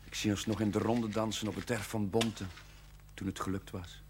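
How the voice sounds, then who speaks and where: Actor as defiant chaplain, lowish voice. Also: male voice, level tone, normal speed, lightly acted. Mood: sullen. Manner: frank, argumentative. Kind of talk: television period drama acting. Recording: faintly noisy old television soundtrack.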